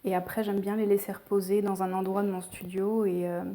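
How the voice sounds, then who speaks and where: A woman with an accent from France gives a personal, reflective interview. Her voice is soft-edged and mellow with some vocal fry, and her pitch stays fairly level. She pauses mid-phrase as she looks for the next word. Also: level tone, a little hiss or hum. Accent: French from France. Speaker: painter, interviewee. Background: background music.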